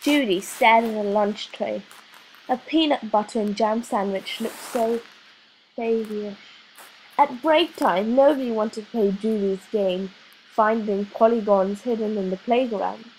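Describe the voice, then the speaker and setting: A woman narrating a children's storybook in a slow, expressive reading with lively emphasis. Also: a little high, a little hiss or hum, poor audio. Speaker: audiobook narrator.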